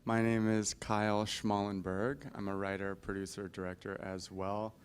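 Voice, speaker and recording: Very low monotone guy, short matter-of-fact intro on a panel. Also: normal speed, clear, resonant tone. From Toronto, Canada. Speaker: panelist, filmmaker.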